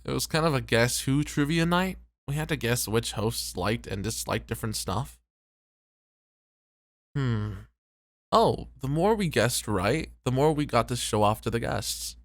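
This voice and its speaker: A man playing a tired, popular host and teasing flirt in an ASMR roleplay, with relaxed banter. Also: medium pitch, unhurried, no room sound, studio quality. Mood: tired, modest.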